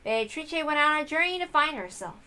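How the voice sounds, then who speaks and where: A woman's voice: high voice, animated, brisk, bright, forceful tone. A woman reading a visual novel aloud as dramatic scripted narration.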